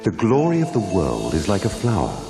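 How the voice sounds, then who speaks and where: A man voicing a calm, wise spiritual teacher in a cartoon, speaking in solemn, measured aphorisms. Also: sits low, full character voice. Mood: solemn, preaching. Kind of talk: cartoon voice acting. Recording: long hall reverb, music behind.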